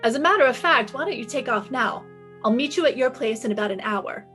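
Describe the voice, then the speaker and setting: A woman's voice: fairly high pitch, lively intonation, fairly quick, a bit bright, soft background music. A woman playing a sassy, confident private detective in a 1950s-style radio drama, breezy, wry and commanding. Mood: breezy, bossy.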